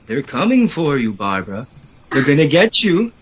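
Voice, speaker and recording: A man's voice: on the high side, sing-song, moderate pace, muffled recording, a little hiss or hum. A man playing a grumbling, teasing brother in an audio drama, sarcastic and irreverent. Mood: teasing, mock spooky. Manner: sarcastic, complaining.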